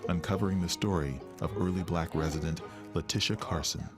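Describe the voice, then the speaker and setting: Male narrator, low-pitched, scripted history documentary read over clear guitar music. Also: fairly quick. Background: plucked guitar music.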